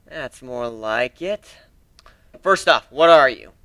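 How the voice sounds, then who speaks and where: A man's voice: medium pitch, expressive, slow pace, pushed and bright, no echo. A man voicing a cocky, menacing male griffin warrior, taunting and sarcastic, in a dramatic fantasy story reading. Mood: mocking, casual.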